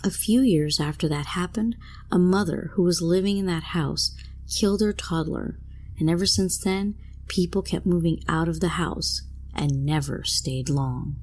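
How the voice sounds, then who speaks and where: A woman's voice: fairly low pitch, unhurried, a little mellow, a little hiss or hum. A woman reading a scripted first-person horror story as a narrator.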